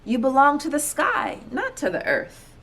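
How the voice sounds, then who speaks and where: A man's voice: very high voice, huge pitch swings, measured pace, gravelly, bright, forceful tone, voice pushed up, full character voice. A woman voicing an eager, insistent man in a children's storybook, urging in an earnest way. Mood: earnest, hushed. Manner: earnest, exhortative.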